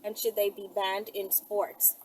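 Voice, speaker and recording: A woman's voice: mid-range voice, level tone, a little slow. A woman hosting a video, chatting informally and thinking aloud as she answers viewer requests with rhetorical questions. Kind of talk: casual rambling chat to camera. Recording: distant mic, loud vehicle and street noise.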